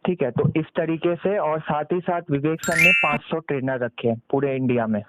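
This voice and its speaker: A man giving a persuasive sales pitch over the phone, explaining step by step, mixing in English business terms and checking for agreement. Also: moderate pace, very noisy. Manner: explanatory, often seeks confirmation with tag questions. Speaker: sales consultant on a call. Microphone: recorded phone call. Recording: muffled lo-fi phone call recording.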